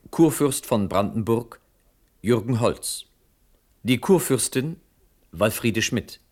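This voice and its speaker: A male announcer reads out credits for a radio play. His delivery is formal and unhurried, each item set apart in a mid-pitched voice. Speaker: announcer of title and cast.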